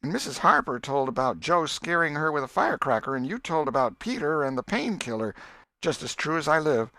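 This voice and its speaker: A man's voice: fairly high pitch, big pitch swings, brisk, bright, forceful tone, no echo, voice pushed up, heavily acted. A man voicing a mischievous, earnest young boy with a rural Southern American accent, telling his tale in an audiobook. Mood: eager recounting. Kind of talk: audiobook character voice.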